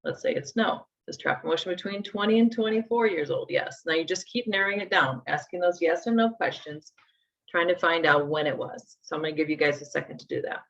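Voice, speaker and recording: Low-voiced woman in her forties teaching. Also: fairly quick, gravelly, a bit bright. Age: 40s. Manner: conversational, walks through steps aloud. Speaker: instructor. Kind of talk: live workshop teaching. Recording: dull lo-fi sound.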